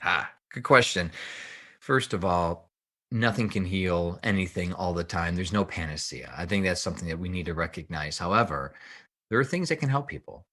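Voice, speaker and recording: A man with a fairly low voice talks as an expert guest on a podcast. He explains in an emphatic, teacherly manner, often putting a question and answering it himself. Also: moderate pace, a bit bright. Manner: emphatic, didactic, asks and answers own questions. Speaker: podcast guest, health researcher and author. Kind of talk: explanatory interview talk.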